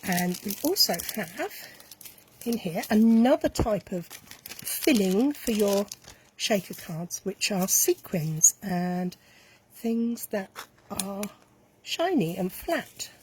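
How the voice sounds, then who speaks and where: A woman's voice: mid-range voice, big pitch swings, slow, darkish tone, slight background noise, poor audio. A woman casually demonstrating a card-making craft, chatty and enthusiastic as she talks through each step while she works. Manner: chatty, enthusiastic, thinking aloud while working.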